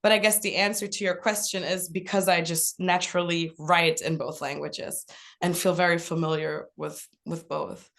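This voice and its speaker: A young woman whose first language is German, speaking English as an author at a college event, relaxed, with many fillers. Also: on the low side, normal speed, vocal fry. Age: young adult. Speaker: author guest. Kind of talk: casual talk at a college event.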